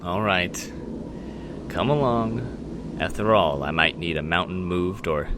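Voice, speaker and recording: A man's voice: low voice, slow pace, background noise. A man playing an aloof, terse ice warrior in an amateur audio drama, speaking in a curt, clipped way. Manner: curt, clipped, cool delivery.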